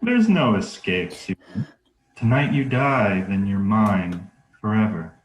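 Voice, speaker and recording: An actor playing a man's reanimated corpse possessed by a sadistic killer, speaking slowly and with menace in a script read. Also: on the low side, lively intonation, roomy sound. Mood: sinister, gloating. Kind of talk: screenplay table read.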